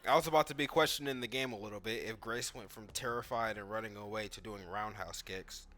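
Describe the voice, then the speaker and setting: A man's voice: on the low side, fast talker, fairly bright tone. A man giving casual, off-the-cuff commentary on a video game, thinking aloud in informal language and often saying "like". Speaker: gaming video creator reacting to cutscenes and gameplay.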